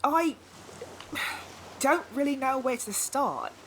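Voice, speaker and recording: A gentle, emotional fantasy mother. Her high voice moves slowly and haltingly, full of tenderness. Faint ambience lies behind her. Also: very animated, slight background noise, exaggerated voice. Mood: tearful relief. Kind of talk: fantasy audio drama acting.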